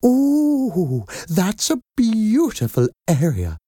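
A man voicing a warm, enthusiastic older woman, a nanny with an effusive, fond manner, in a storybook reading. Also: sits high, wildly swooping pitch, drawn-out words, slightly rough, no room sound, clean studio sound, pitched above the performer's own voice, full character voice. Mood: happy, gushing.

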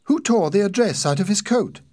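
A male reader plays an anxious shopkeeper's wife in an audiobook. The voice is lifted high, swings widely and has a slightly husky grain. She sounds nervous, confused and questioning. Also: animated, brisk, a little mellow. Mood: confused, worried. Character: anxious married shopkeeper woman, worried and confused. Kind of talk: audiobook character dialogue.

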